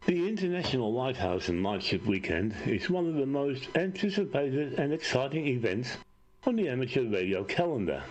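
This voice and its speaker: Male narrator, lively, polished club video voice-over. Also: medium pitch, moderate pace, a little hiss or hum. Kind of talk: scripted narration.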